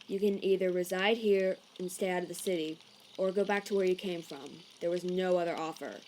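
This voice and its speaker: A woman voicing a haughty, prejudiced male envoy in an animated comic dub, stiff, officious and condescending. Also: lowish voice, brisk, a little hiss or hum, close to an everyday voice. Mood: dismissive.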